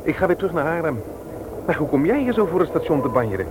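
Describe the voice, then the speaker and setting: An actor playing a stern, indignant brother-in-law in a Dutch radio play, emphatic and threatening when crossed. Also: male voice, a little high, very animated, fast talker. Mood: friendly. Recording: very noisy old radio play recording.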